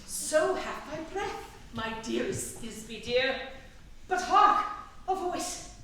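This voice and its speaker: A woman's voice: sits high, lively intonation, slow pace, pushed and bright, full character voice. A woman playing a boastful, foolish male weaver in a staged Shakespeare comedy, speaking in a declamatory, clownish way. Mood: grandly romantic. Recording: roomy echoing hall, distant mic, faint noise.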